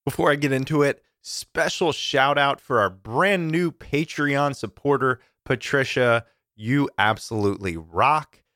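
A male podcast host talking in a chatty, enthusiastic way. His voice sounds a bit deeper and rougher than usual from campfire smoke. The sound is dry and close. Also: a little slow, a bit bright, dry recording. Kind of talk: casual podcast intro.

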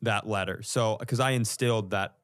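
American man telling animated comic stories. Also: on the low side, moderate pace, clean tone. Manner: animated, profane, acts out reactions in stories. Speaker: comedian and podcast co-host. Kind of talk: casual comedic storytelling in podcast banter.

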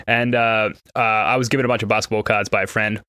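Bright-voiced Australian man hosting a podcast. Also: lowish voice, level tone, fast talker, clear, resonant tone, no echo, studio quality. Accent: Australian with a slight American tinge. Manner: chatty, self-deprecating, laughs easily. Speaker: podcast host. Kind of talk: casual podcast chat.

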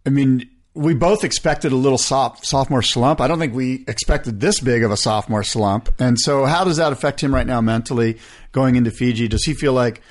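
A man's voice: medium pitch, brisk. Casual, slangy male sports podcast co-host. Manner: slangy, joking, acts out imagined quotes. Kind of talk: casual banter about pro surfing.

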